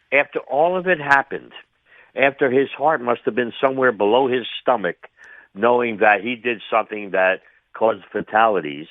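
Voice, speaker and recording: An older man giving his view as an interview guest over the phone, speaking in a halting, reflective way and repeating phrases for emphasis. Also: medium pitch, dry recording. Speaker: retired air traffic controller, interview guest. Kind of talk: spontaneous radio interview commentary. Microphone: phone call to a radio show. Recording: tinny, band-limited phone line.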